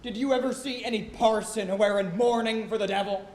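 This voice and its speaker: Man playing a high-pitched, blustering sailor. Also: steady tone, a little fast, close to an everyday voice. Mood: boastful, teasing. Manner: blustering, comic bravado. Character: boastful, excitable sailor mocking the devil. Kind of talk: theatrical stage acting. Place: college theatre stage. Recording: roomy auditorium stage recording, some hiss.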